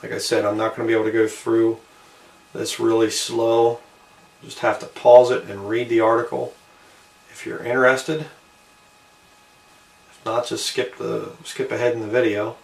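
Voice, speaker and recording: A man's voice: lowish voice, flat delivery, unhurried, gravelly, long pauses, recorded from a distance. Male video host, informal and musing as he reads and comments, roomy sound, set back from the mic. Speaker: video host reading and commenting on an old newspaper. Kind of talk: informal commentary mixed with reading aloud.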